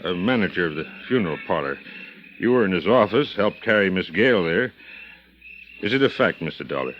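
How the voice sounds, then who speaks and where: An American actor playing a folksy, gossipy pier concessionaire in 1950s radio style, hesitant and colloquial. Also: male voice, low voice, animated, brisk. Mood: hesitant, curious. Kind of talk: 1950s radio drama acting. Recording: dull, noisy vintage radio recording.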